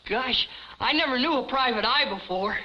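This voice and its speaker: A man's voice: extremely high pitch, moderate pace, background noise. A man playing a simple, eager young sidekick, boyish and earnest, in a 1950s television comedy. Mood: earnest, admiring. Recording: dull vintage 1950s TV soundtrack.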